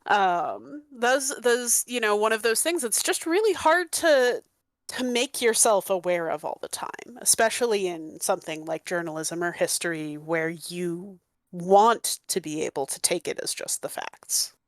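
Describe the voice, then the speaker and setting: A woman who teaches history, talking in a casual panel discussion, animated and full of filler words. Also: mid-range voice, unhurried, dry recording. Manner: animated, lots of "like" and restarts.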